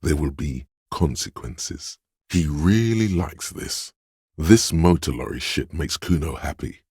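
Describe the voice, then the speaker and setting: A man voicing a cool, sly, goading inner voice in a video game, narrating in the second person with deliberate, savored words. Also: lively intonation, darkish tone, dry recording, clean studio sound, heavily acted. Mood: wry. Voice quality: very deep, gravelly, husky.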